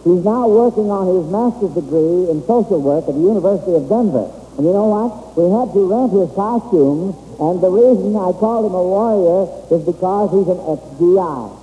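A high-pitched man hosts a vintage radio quiz in a halting, folksy manner. He speaks from a stage in a reverberant hall, and the old recording is thin and tinny. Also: slight background noise. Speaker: quiz show host. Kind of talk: old-time radio quiz show hosting. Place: stage in an auditorium.

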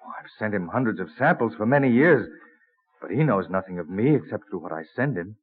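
A man plays a colonial plant collector in an old radio play. He is plain-spoken, modest and enthusiastic, with lively swings of intonation. The sound is narrow and muffled. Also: on the low side, a little fast, natural voice. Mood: admiring. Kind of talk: vintage radio drama acting.

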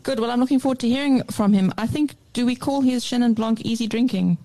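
A woman with a South African accent who hosts a radio business show, brisk and teasing. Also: a little high, dark tone, poor audio. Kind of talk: bantering radio hosting.

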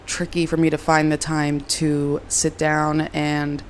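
A young woman hosting her own podcast, hoarse and raspy from a cold, chatting in a rambling, self-deprecating way. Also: sits low, completely flat delivery, slow pace, slight background noise. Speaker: podcast host. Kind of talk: casual solo podcast chat. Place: moved from Reno to LA, recording at home.

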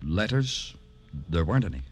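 An actor playing a world-weary police detective, terse and dry, in old-time radio noir style. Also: male voice, low voice, sing-song, moderate pace, breathy edge, heavily acted. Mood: gentle, subdued.

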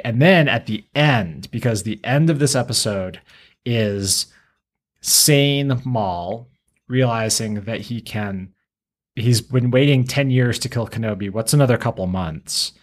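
A man hosting a podcast, talking casually and enthusiastically as a fan. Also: fairly low pitch. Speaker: podcast host.

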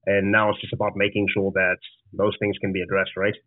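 A man's voice: deep voice, monotone, fairly quick, no echo. A man from Zimbabwe with a Zimbabwean accent, a founder answering interview questions earnestly, often restarting his words. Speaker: guest, startup founder. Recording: dry, tinny phone-like line.

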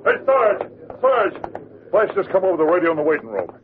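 A man's voice: high voice, animated, a little fast. An actor playing an urgent policeman reporting a bulletin in an old-time radio drama, in clipped phrases. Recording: tinny vintage radio, faint noise.

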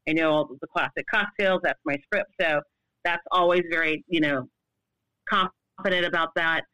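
A woman in her fifties, a longtime bartender and brand ambassador, answering interview questions in a loud, well-projected, animated voice. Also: sits low, normal speed, dry recording, poor audio. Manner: animated, laughs often.

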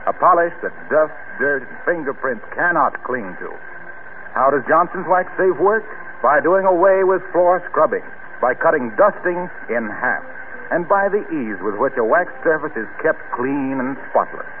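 Animated old-time radio announcer, male, tinny. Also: a little high. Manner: polished, emphatic, deliberate phrasing. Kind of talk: 1930s-40s scripted radio announcing and sponsor pitch. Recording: tinny, faintly noisy old radio broadcast.

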